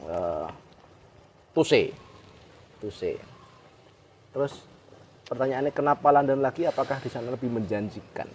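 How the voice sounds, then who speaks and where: A man's voice: mid-range voice, a little slow, pauses often, darkish tone, a little hiss or hum. A man interviewing casually, joking and mixing Javanese with Indonesian. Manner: joking, mixes Javanese and Indonesian, short backchannels.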